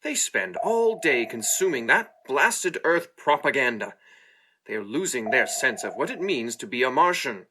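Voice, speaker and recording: A man's voice: medium pitch, big pitch swings, normal speed, sharp tone, slight background noise. A man playing a stern but fond Martian king and father, grand and commanding, in a campy audio play. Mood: regal, grumbling.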